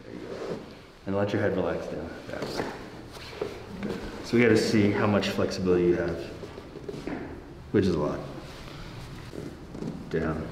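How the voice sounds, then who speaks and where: Low-voiced male chiropractor in echoey room. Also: normal speed, long pauses. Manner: gives short step-by-step directions and reassurance. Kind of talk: casual hands-on patient instruction. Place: indoor treatment room. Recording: echoey room with faint background noise.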